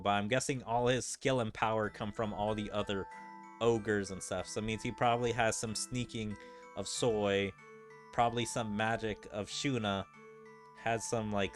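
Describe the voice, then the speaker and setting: A man giving casual video game commentary as a streamer. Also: on the low side. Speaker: gaming streamer. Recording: game music behind the voice. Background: video game music.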